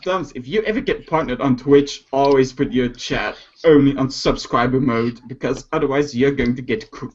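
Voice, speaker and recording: A man on a gaming podcast, eagerly giving advice and talking over others, with a husky voice. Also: mid-range voice, normal speed, few pauses, highs cut off. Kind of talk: casual chat.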